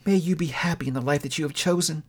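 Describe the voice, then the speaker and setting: A man reading a storybook as a fair young woman, gentle and sorrowful, speaking softly and earnestly in measured phrases. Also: fairly high pitch, pitched above the performer's own voice, close to an everyday voice. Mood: resigned, tender.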